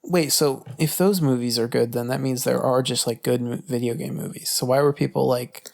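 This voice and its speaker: A man's voice: medium pitch, fairly even intonation, normal speed, vocal fry, darkish tone, slight background noise. A young man co-hosting a podcast, chatting informally about movies, hesitant and peppered with swearing. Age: young adult. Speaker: podcast co-host. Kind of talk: casual movie chat.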